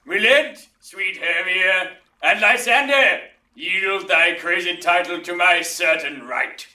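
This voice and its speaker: A man's voice: sits high, slow, sharp tone, full character voice. Man playing piercing, insistent young suitor. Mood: urgent, demanding. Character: insistent young suitor claiming his bride. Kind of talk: Shakespearean audio drama acting. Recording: roomy echo, distant from mic.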